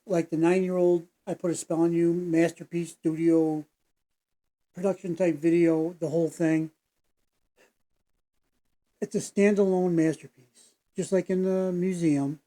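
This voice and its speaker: Mellow-voiced rambling man. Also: fairly high pitch. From United States. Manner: meandering, folksy, digressive with many fillers. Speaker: reaction video host. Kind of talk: casual rambling commentary.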